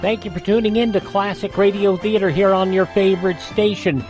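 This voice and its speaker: A man's voice: high voice, fairly even intonation, brisk, over music. A man hosting a radio show, reading sponsor spots and announcements in a chatty, folksy way. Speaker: radio host.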